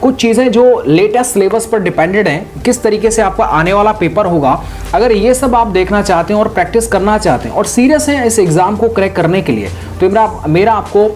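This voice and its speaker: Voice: medium pitch, lively intonation, a little fast, a little mellow, faint music behind. An exam-prep presenter explaining things informally to students in a didactic way, checking in with rhetorical questions.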